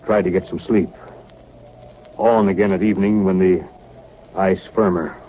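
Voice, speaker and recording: An actor playing a stern, commanding Arctic ship's captain with clipped, terse delivery, in 1930s radio drama style. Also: male voice, sits low, steady tone, moderate pace, close to an everyday voice. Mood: grim, weary. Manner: clipped, terse log-like delivery and barked orders. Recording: muffled, noisy 1930s radio broadcast recording.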